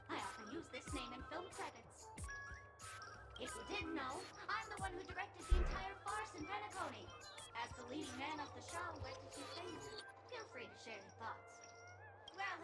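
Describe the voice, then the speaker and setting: High-pitched mischievous doll. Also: female voice. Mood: playful, teasing. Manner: teasing, theatrical, chatty. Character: playful, mischievous doll posing as a film director. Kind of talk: video game character dialogue. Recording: game audio with loud music, roomy echo.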